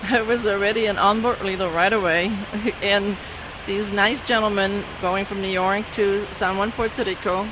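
A woman's voice: mid-range voice, steady tone, moderate pace, background noise. Giggly Dutch-accented woman. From Netherlands. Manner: giggly, laughs often while telling stories. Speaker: former flight attendant. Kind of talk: casual anecdote telling.

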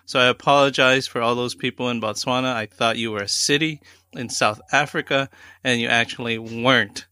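A man's voice: medium pitch, steady tone, fairly quick, bright, forceful tone, clear, resonant tone, no room sound, studio quality. A man hosting a podcast, chatting enthusiastically with his guest. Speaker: podcast host. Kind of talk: podcast interview.

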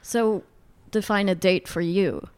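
A woman's voice: medium pitch, slow, darkish tone, smooth. Woman interviewer with short questions. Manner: friendly, short prompting questions. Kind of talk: casual interview chat.

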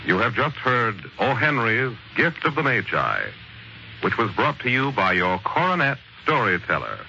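A man narrating as a 1940s radio storyteller, in a dramatic, measured, scripted style. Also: mid-range voice, sing-song, noisy background. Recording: muffled 1940s radio recording. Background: prominent music.

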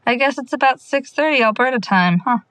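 A woman's voice: high voice, animated, a little fast, a bit bright, no echo. A young woman playing an anxious, sardonic amateur sleuth in a naturalistic audio drama, talking in a casual, rambling way. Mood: wry.

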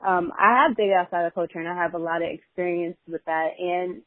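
A woman's voice: on the low side, fairly even intonation, a little fast, dry recording. A woman guest on a podcast, speaking thoughtfully with hesitant restarts. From Nigeria (family), raised in America. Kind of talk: casual chat. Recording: dry thin phone-like sound.

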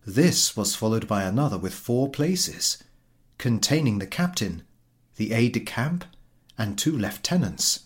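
A man reading a literary story aloud as an audiobook narrator, with measured, theatrical phrasing. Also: mid-range voice, sing-song. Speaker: audiobook reader.

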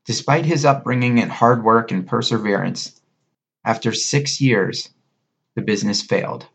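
A man's voice: mid-range voice, moderate pace, gravelly, fairly bright tone, slightly rough. A man hosting a podcast, moving between conversational sponsor talk and scripted narration. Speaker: podcast host.

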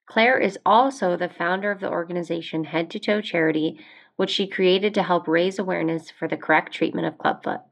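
A woman's voice: fairly low pitch, fairly even intonation, fairly quick, gravelly, poor audio. Woman, American accent, podcast host, conversational interview delivery.

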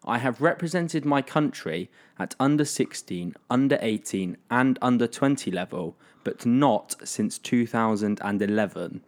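A man's voice: medium pitch, animated, a little slow, few pauses. A man hosting a casual podcast quiz, reading out clues with lots of ums and frequent laughter. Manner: laughs often, hesitant fillers. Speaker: podcast host running a quiz.

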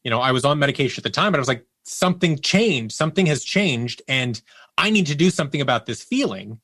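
A man with a bright, forward voice in a close, dry recording. He talks candidly and reflectively as a guest in conversation, often voicing his own thoughts as if quoting them. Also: mid-range voice, expressive, quick pace, no room sound. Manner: reflective, acts out inner thoughts as quoted speech. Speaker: interview guest. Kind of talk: candid personal storytelling in conversation.

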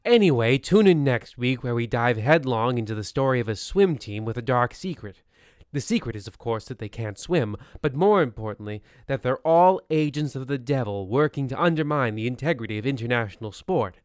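Male host-narrator, middle pitch, clean resonant tone, theatrical mock radio style. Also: lively intonation, a little fast, darkish tone, smooth, no room sound, clean studio sound.

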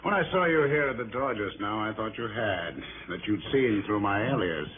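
A man playing a smooth, coolly menacing crook in a 1960s radio drama, with genteel, over-polite phrasing. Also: on the low side, lively intonation, moderate pace. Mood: polite menace. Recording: tinny, noisy early-1960s radio recording.

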